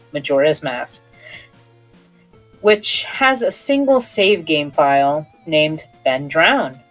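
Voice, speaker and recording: A woman hosts a horror podcast in a playful, conversational style. Her voice sits a little low and moves in animated swings of pitch. Music plays faintly behind her. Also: low-quality recording. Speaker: podcast host.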